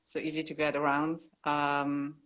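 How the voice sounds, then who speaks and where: A woman in her forties chats as an interview guest, her voice sitting a little low. She talks in a relaxed, modest way and laughs often. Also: unhurried, rough recording. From Germany. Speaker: guest artist. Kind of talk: casual interview on a video call.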